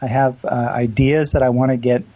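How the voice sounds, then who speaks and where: A man's voice: mid-range voice, level tone, brisk, mellow, no room sound. A man from Canada with a Canadian accent, a photographer chatting earnestly with many restarts. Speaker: photographer, co-host. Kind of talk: casual chat.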